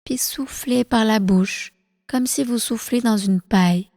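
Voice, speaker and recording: A woman leading a guided relaxation meditation as instructor, calm and soothing, with slow, deliberate phrasing. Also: on the high side, no room sound, clean studio sound, long reverb tail. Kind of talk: guided prenatal meditation, yoga nidra.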